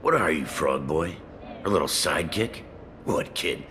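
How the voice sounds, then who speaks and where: An actor playing a defiant, sneering crime boss in a video game, with a taunting, coarse manner. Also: male voice, rumbling low, animated, unhurried, background noise. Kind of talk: video game cutscene acting.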